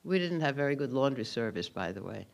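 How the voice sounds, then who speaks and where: A man in his eighties with a Hungarian accent, giving a personal testimony speech at a memorial ceremony, telling his story calmly with dry understatement. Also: medium pitch, brisk, creaky. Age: 80s. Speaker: Holocaust survivor giving a keynote.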